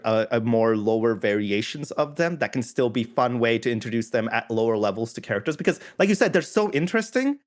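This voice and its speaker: A man co-hosting a casual podcast, talking in long rambling turns with restarts and frequent laughter. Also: medium pitch. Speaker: podcast co-host. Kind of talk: casual chat about tabletop games.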